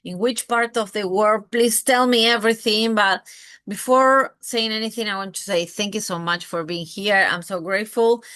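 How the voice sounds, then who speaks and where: A woman's voice: mid-range voice, normal speed, a bit bright, clean tone, no room sound. A woman from Argentina with an Argentine Spanish accent, a brand and visibility mentor giving a live masterclass. She talks effusively, swears casually and keeps checking in with tag questions. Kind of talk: live masterclass talk to camera.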